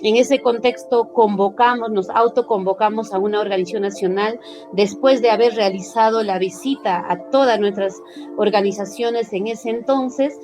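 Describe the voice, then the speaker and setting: A woman's voice: medium pitch, fairly even intonation, normal speed, over music. A woman founder recounts events fluently and in an orderly, formal way. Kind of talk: documentary interview.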